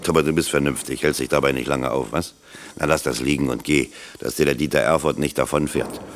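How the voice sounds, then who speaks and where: An actor in a German radio play playing a kindly, grumbling older locksmith who talks plainly and colloquially. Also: male voice, a bass voice, steady tone, fairly quick, slight background noise. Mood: fatherly. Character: kindly, grumbling, self-deprecating older male factory locksmith.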